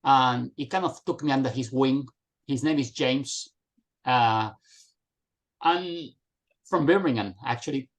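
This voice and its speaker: Guy in his 40s or so on a video call, lively storyteller. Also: medium pitch, unhurried, pauses often. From Spain. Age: middle-aged. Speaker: guest. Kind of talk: casual video call chat.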